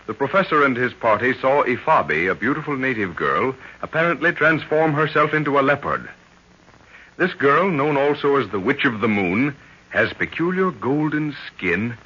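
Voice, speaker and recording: A muffled 1930s radio recording. an ominous narrator of an adventure serial, theatrical and portentous. His forceful voice swings widely in pitch. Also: breathy edge, full character voice. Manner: theatrical, portentous, punchy short phrases. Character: dramatic, ominous male storyteller of a jungle serial.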